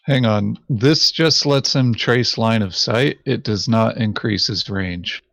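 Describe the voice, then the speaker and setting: A man with a fairly low voice talks very slowly and hesitantly during a board game. He is low-key and matter-of-fact. The sound is close and dry, with no room echo. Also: vocal fry, a bit bright. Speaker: board game player on a playthrough video. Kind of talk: casual chat while playing a board game.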